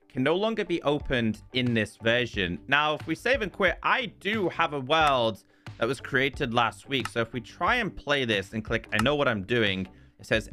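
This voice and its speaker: Bright, forceful man explaining game updates. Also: mid-range voice. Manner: explanatory, walking through menus step by step. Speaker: gaming video host. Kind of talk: casual scripted-sounding game update commentary. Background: background music.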